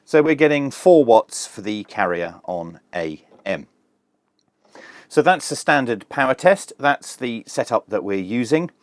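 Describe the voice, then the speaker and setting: A man reviews a piece of kit in a casual, hands-on way. His voice sits at an average male pitch and is recorded very dry, with no room sound. He explains things plainly, step by step, with a streak of dry humour. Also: expressive, measured pace, dry recording. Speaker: product reviewer.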